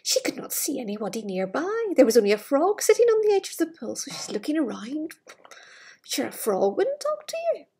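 A middle-aged woman with a breathy voice, reading a fairytale to children with gushing, chatty asides in a living room. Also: high voice, wildly swooping pitch, fairly quick, dark tone. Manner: gushing, wistful enthusiasm for the book. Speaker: children's storyteller. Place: living room with large glass doors. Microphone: device camera at arm's length.

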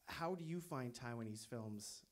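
A man's voice: medium pitch, animated, a little slow. A man moderating a film panel on a theater stage with a handheld mic, asking long questions he rephrases as he goes. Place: theater stage before an audience.

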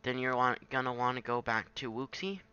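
A man giving a casual, improvised software tutorial in a halting, self-deprecating way on a home microphone. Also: medium pitch, clean tone, lo-fi sound. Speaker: tutorial maker. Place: at a home computer, screen recording.

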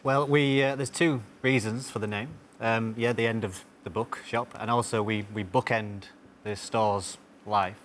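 A man's voice: on the low side, steady tone, unhurried, a bit bright. A man in his late thirties chats easily with a quiz host, explaining his team's name in a good-humoured way. A faint hiss sits under his voice. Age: 30s. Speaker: quiz contestant, team captain. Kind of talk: casual chat. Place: TV studio.

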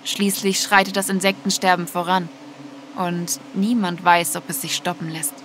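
A woman's voice: on the low side, level tone, normal speed, fairly bright tone, a little hiss or hum. A woman narrating a scripted audio story.

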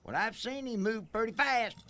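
A man voicing a friendly, goofy country tow truck in an animated game, with a folksy Southern US accent. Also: high-pitched, normal speed, slight background noise, heavily acted. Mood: drowsy, doubtful. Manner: folksy, excitable. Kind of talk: animated video game acting.

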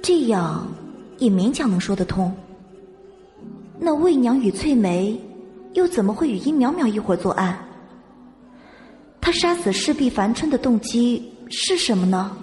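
A woman reading a dramatic novel as an audiobook narrator, in measured storytelling style. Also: on the high side, very animated, darkish tone, faint music behind.

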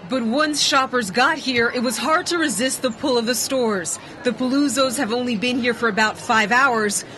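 A woman's voice: on the high side, normal speed, a little hiss or hum, poor audio. Young woman reporting local TV news. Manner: clear, even reporter delivery.

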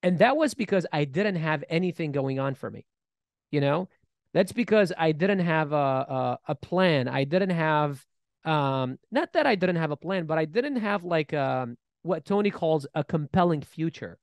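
A man in his thirties co-hosting a casual podcast, talking in an animated way with many restarts, recorded on a studio mic. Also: a little high, normal speed, no room sound. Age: 30s. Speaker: podcast co-host. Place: bright studio room, seated in armchair. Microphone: boom-mounted studio mic.

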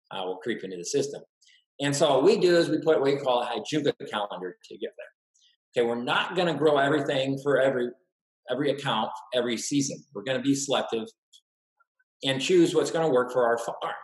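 Man lecturing in an echoey room. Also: medium pitch. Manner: practical, steady, many "uh" fillers and restarts. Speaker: farmer giving a webinar talk. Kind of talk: slide presentation lecture. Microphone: webcam on a video call. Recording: roomy echoey webcam audio.

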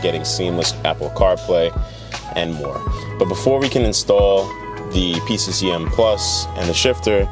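Low-voiced young American man vlogging. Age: young adult. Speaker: car vlogger. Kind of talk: casual talk to camera. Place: inside a parked car, later a garage. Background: background music.

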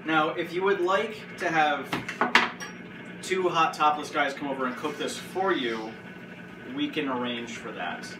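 Guy hosting a goofy cooking show in his kitchen, bit high voice, far from the cam, echoey. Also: a little slow. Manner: joking, rambling, with restarts. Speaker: host. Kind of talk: casual cooking show banter. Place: home kitchen, indoors. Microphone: far camera. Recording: roomy, distant, noisy kitchen audio.

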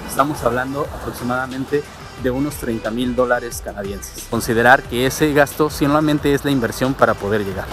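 A man talks to a handheld camera held at arm's length. He walks outdoors and explains things in a steady way. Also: medium pitch. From Mexico. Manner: steady, explanatory, talking while walking. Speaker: vlogger. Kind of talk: walking vlog explaining immigration. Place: outdoors on suburban streets and a transit station. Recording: music playing underneath. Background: music.

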